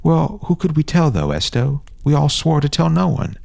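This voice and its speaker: A man's voice: on the high side, very animated, normal speed, vocal fry, darkish tone, no echo, voice pushed up, heavily acted. A man voicing a reluctant, protective boy, doubtful and hesitant, in an audio story.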